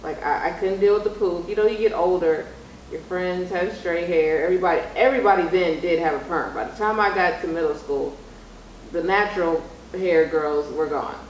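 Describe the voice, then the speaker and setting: A woman's voice: lowish voice, moderate pace. Young woman chatting in an echoey room. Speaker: vlog host interviewing mother. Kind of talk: casual storytelling chat. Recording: roomy echo with background noise.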